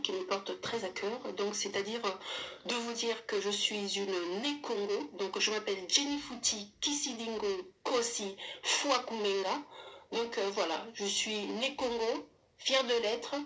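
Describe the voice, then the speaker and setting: A woman's voice: medium pitch, a bit bright, low-quality recording. Hesitant woman giving a video statement. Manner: hesitant, many fillers, pointed rebuttal. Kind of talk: self-recorded video statement.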